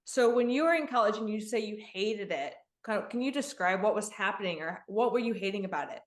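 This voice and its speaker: A woman's voice: medium pitch, expressive, fairly quick. Enthusiastic woman host, echoey room. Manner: enthusiastic, welcoming. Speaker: interview host. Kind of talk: hosting an interview.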